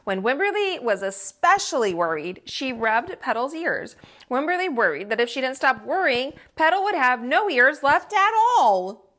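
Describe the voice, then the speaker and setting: A woman reading a children's story aloud as a storytime host and teacher, with expressive, deliberate delivery. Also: sits high.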